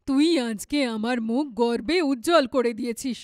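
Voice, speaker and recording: Casting: woman as caring cartoon mother, high voice, earnest and emphatic, close dry sound. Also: normal speed, darkish tone, no room sound, natural voice. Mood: proud. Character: devoted, proud, caring mother of three daughters. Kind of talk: dramatized children's moral story.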